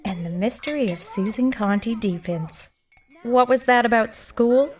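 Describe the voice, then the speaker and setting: A woman's voice: on the high side, normal speed, a little mellow, close to an everyday voice. A woman playing a nosy, irreverent working-class maid with folksy rural American diction in an audio drama. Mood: teasing, curious.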